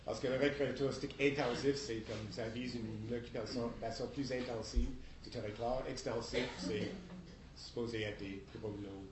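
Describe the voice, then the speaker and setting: A man's voice: medium pitch, fairly even intonation, moderate pace, background noise. Hesitant man with a cold, echoey room. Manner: hesitant, repeats himself. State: congested with a head cold. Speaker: municipal council member. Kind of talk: answering questions at a public council meeting. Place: public meeting hall. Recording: echoey meeting room with people murmuring.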